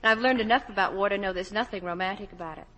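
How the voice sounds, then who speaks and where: A woman's voice: medium pitch, very fast, bright, forceful tone. A young American woman reading a scripted endorsement as a guest on a 1950 radio broadcast. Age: young adult. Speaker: guest starlet in sponsor segment.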